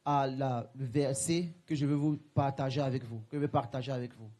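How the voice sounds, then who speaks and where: A man interpreting a sermon live, echoing each phrase quickly with frequent self-corrections. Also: mid-range voice, steady tone, warm tone. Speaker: church interpreter.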